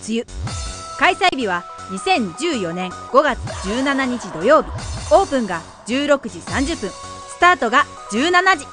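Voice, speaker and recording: A woman's voice: sits high, sing-song, a little slow. A woman reading a scripted promotional announcement for an event. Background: background music.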